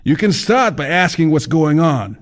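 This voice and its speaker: A man's voice: a little high, lively intonation, moderate pace, pitched above the performer's own voice, lightly acted. A man voicing a rotund, pushy writing mentor who talks in a showy, theatrical way.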